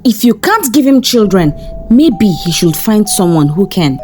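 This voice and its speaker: A woman's voice: medium pitch, very animated, unhurried, mellow, slight background noise. A woman voicing a harsh older mother-in-law in a folktale, scoffing and accusing. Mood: relentless, demanding.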